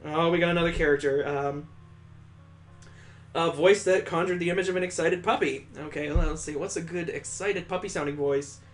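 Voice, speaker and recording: A man's voice: on the high side, fairly even intonation, normal speed, faint music behind. A man reading a visual novel aloud as a let's play host, adding sarcastic, playful asides.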